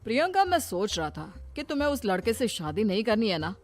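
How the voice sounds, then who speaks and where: A woman's voice: mid-range voice, very animated, a little fast, darkish tone, close to an everyday voice. A woman voicing an earnest, plain-spoken young married son in a cartoon moral story. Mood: casually provoking. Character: young married son, puzzled and conspiring with father. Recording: music bed behind voice.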